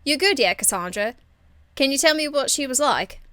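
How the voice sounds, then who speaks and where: Woman acting brash young female rogue, cheeky, excitable, slangy, bright forceful voice, high-pitched, game character. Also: moderate pace, no echo.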